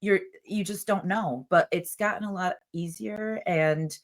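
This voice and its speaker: Low-voiced, chatty woman host. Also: a little slow. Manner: chatty, enthusiastic, uses "like" a lot. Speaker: podcast host. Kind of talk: casual interview chat.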